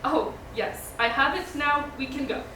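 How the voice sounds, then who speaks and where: A woman's voice: high voice, unhurried. A woman playing a lovestruck, easily flustered young student in a screenplay table read. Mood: flustered, awkward. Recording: distant, echoey room with background noise.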